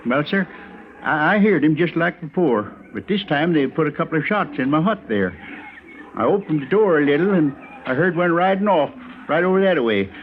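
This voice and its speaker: An actor playing a nervous, simple homesteader in a radio western, with a rural American accent and a hesitant, stammering, deferential delivery. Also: male voice, on the high side, expressive, normal speed, natural voice. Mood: anxious. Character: nervous, simple frontier homesteader, frightened of Indians. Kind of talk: old-time radio western acting. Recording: muffled, tinny old radio recording, faint noise.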